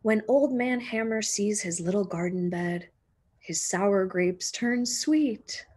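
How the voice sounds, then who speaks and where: Slow, husky woman reading a storybook. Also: mid-range voice, a little mellow. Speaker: teacher reading a picture book aloud. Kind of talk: expressive children's storybook read-aloud.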